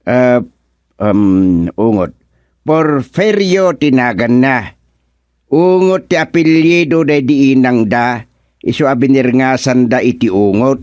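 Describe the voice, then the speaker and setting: A man's voice: mid-range voice, lively intonation, slow, darkish tone, no room sound, studio quality. A male actor playing an inquisitive, easily puzzled village man in an Ilocano radio drama, with an Ilocano accent.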